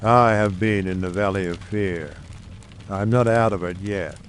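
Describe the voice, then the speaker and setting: A man acting a haunted husband in a mystery drama. He sounds low and grave, full of foreboding, with background noise behind the voice. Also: measured pace.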